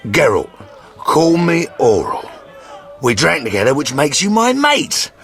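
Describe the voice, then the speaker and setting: A man's voice: fairly high pitch, huge pitch swings, unhurried, gravelly, fairly bright tone, heavily acted. A man voicing a crude, boastful yet sentimental mercenary sergeant in a fantasy video game, with blustering theatrical delivery. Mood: drunken affection.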